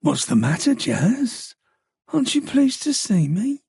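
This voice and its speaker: A menacing, unhinged kidnapper, put on by a male narrator drags out every word in a slow, taunting drawl. The voice sits high and thin, with a gravelly edge over a dry, close sound. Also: wildly swooping pitch, darkish tone, dry recording, full character voice. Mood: taunting menace. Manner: slow, drawn-out, taunting delivery.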